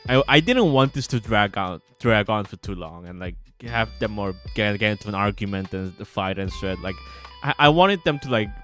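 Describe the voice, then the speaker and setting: A man giving casual livestream commentary as a game developer, halting and repeating himself excitably. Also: on the low side. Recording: music behind the voice.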